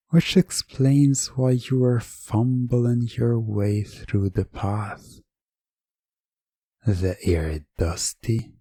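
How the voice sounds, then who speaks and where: A man narrating a scripted second-person story for hypnosis ASMR, measured and deliberate, with dramatic pauses. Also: deep voice, a little mellow, breathy edge, dry recording, studio quality.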